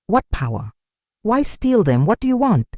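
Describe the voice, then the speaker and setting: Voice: medium pitch, wildly swooping pitch, unhurried, dark tone, no room sound, studio quality, voice pushed up. A narrator playing an earnest, shaken young village hunter in a folktale, asking urgent questions in a pleading way. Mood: desperate. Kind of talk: dramatic folktale storytelling.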